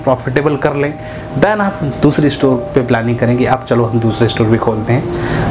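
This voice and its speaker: A young man talking casually to camera as a business advice YouTuber, often asking his viewers "right?" between points, recorded on a lapel mic indoors. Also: medium pitch. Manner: conversational, keeps asking "है ना", gestures with both hands. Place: indoors, grocery-store backdrop.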